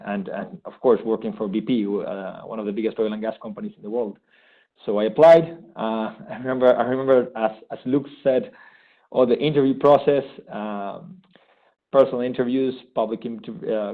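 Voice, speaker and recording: A man, giving an eager, halting testimonial with many restarts. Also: medium pitch. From Argentina. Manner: enthusiastic, halting with frequent restarts. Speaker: graduate program participant. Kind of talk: unscripted testimonial. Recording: thin phone-like sound.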